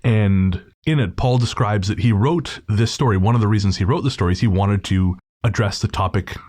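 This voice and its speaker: A man's voice: lowish voice, brisk, a little mellow. A man hosting a podcast, casually introducing an episode and speaking to listeners in an informal, slangy way. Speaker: podcast host.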